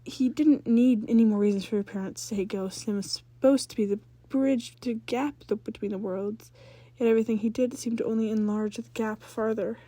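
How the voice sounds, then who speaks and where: A woman's voice: on the high side, fairly even intonation, fairly quick, warm tone. A woman reading fan fiction aloud as a story narrator, sometimes stumbling and rereading phrases.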